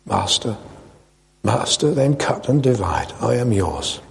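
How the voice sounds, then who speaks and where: A man's voice: medium pitch, a little slow, dark tone, lightly acted. Man voicing a humble, earnest bamboo in echoing hall. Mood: soft, submissive. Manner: earnest, pleading, reverent. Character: noble, humble, devoted bamboo plant pleading with master. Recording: long reverberant hall echo.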